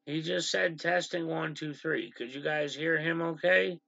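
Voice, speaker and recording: Gravelly man hosting a livestream. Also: fairly high pitch, no echo. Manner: animated, exasperated, quick asides to the stream chat. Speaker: livestream host. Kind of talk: casual livestream chat. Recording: slightly dull lo-fi stream audio.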